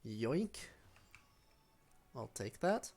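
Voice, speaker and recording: A game streamer with a medium-pitched voice commentates live play in a casual, unscripted way. They think aloud about the next move and address the enemies directly, as in a relaxed solo stream. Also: very slow.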